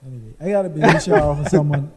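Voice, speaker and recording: A man's voice: a little high, rapid-fire, crowd noise. A man co-hosting a casual podcast chat, easygoing and playful. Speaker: podcast co-host.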